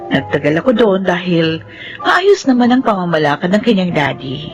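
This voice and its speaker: A woman's voice: lowish voice, big pitch swings, moderate pace, muffled recording, soft background music. A woman with a Filipino accent playing a loyal, caring office assistant and mother in a radio drama, polite and earnest. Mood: earnest, reflective. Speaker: narrator and lead character.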